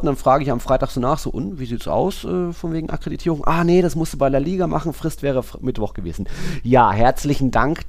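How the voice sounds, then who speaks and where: A man's voice: medium pitch, darkish tone. A man chatting casually and jokily about football as a podcast host, informal in his wording.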